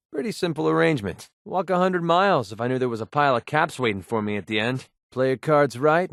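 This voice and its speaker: A man's voice: medium pitch, no room sound, studio quality, close to an everyday voice. An actor playing a cynical young mercenary gunman in a video game, talking in a casual, sardonic, world-weary way. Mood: casual, businesslike. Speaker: game companion character. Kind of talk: scripted video game dialogue.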